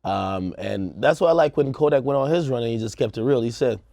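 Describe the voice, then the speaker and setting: A man's voice: mid-range voice, brisk, gravelly, warm tone, no echo, clean studio sound. A man co-hosting a music news show, talking in a casual, slangy way as he thinks aloud. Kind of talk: casual panel discussion, slangy commentary.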